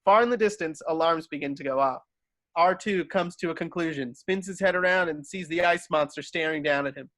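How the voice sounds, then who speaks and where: A man's voice: fairly high pitch, brisk, a bit bright, no room sound. A man narrating screenplay stage directions from a script at a table read.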